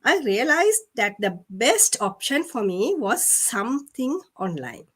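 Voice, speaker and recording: A woman's voice: on the high side, very animated, a little slow, a bit bright, husky. Earnest woman from Sri Lanka. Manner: earnest, explanatory, with fillers. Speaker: guest. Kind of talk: livestream interview.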